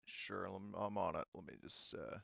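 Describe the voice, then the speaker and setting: A man's voice: sits low, normal speed, creaky, fairly bright tone, dry recording, dull audio. A man running an online tabletop roleplaying game as game master, talking casually in a hesitant, rambling way with trailing thoughts.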